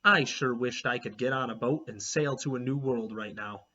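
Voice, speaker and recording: A man, a teacher, reading a children's chapter book aloud with expressive, animated storytelling. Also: medium pitch, moderate pace. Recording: dull lo-fi recording.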